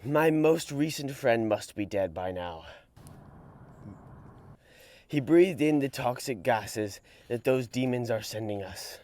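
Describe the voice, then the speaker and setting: A man's voice: mid-range voice, lively intonation, slow pace, pauses often. A young man playing a weary trench soldier. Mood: mournful. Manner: solemn, mournful, sometimes hushed. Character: young male soldier writing home from the trenches, grim and weary. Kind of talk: dramatic reading of a letter.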